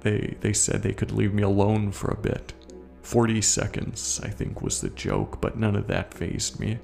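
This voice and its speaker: A man playing a haunted, guilt-ridden war veteran in a horror audio story, confessing in a coarse, halting, gravelly way. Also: fairly low pitch, level tone, dark tone, faint music behind. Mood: brooding, haunted. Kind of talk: first-person horror audio story narration.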